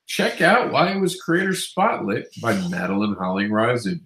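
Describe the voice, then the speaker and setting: A man's voice: medium pitch, sing-song, moderate pace, fairly bright tone. Congested nasal man in an echoey room. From Queens, New York. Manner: chatty, upbeat, self-correcting. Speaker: comics news host. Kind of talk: casual news roundup.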